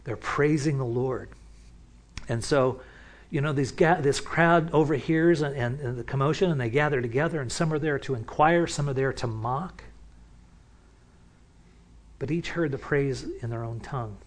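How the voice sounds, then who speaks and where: A man teaching from the Bible in a relaxed, conversational way, often restarting sentences and picking up energy as he warms to a point. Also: mid-range voice, moderate pace. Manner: casual, with many restarts and asides. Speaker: pastor.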